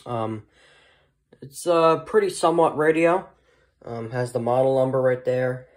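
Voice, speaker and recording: A man giving a casual, unscripted product showcase as a hobbyist, talking in a hesitant, rambling way. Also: mid-range voice. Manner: hesitant, halting, rambling delivery. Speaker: hobbyist vlogger showing a weather radio collection.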